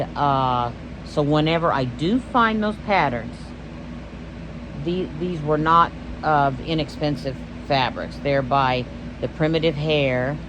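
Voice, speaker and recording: Rambling Texan woman over AC hum. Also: low voice. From Texas. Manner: rambling, loses her train of thought. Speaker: stitching vlog host. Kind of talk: casual show-and-tell vlog.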